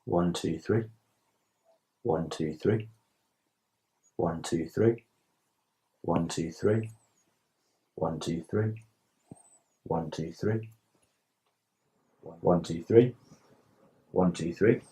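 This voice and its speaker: A man, a patient, slowly and quietly repeating numbers on request. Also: low voice, breathy edge. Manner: quiet, slow, compliant counting.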